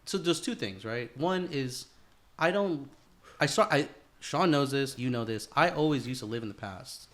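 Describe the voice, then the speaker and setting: A man's voice: mid-range voice, expressive, normal speed, a bit bright. A man talking as a podcast host in a casual chat, earnest and sweary, with bro-style slang.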